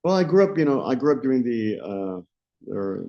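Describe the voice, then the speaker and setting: A South African man around sixty, a company founder answering interview questions in a measured way, often restarting as he searches for words. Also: medium pitch, very animated, creaky. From Johannesburg, South Africa. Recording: dull lo-fi recording.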